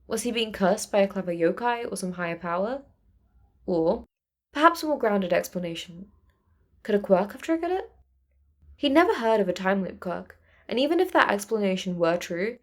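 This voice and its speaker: A woman narrates prose fiction in a medium pitch. Her delivery is scripted storytelling, following a character's thoughts as an audiobook reader would.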